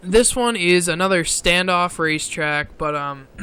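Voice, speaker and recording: A man giving casual commentary over gameplay footage, halting with many fillers, clearing his throat often, his voice strained from talking so long. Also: high voice, expressive, pushed and bright. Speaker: gaming video creator.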